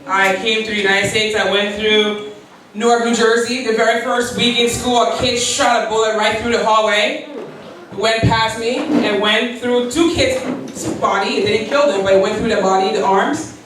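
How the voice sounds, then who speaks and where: Woman in her 40s from liberia giving a keynote, earnest storytelling, kinda high voice, heavy echo. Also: fairly even intonation, normal speed, noisy background. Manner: earnest, storytelling, with quoted prayers and light laughs. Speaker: keynote speaker. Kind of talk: personal speech at an event. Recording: very echoey hall, voice from far off.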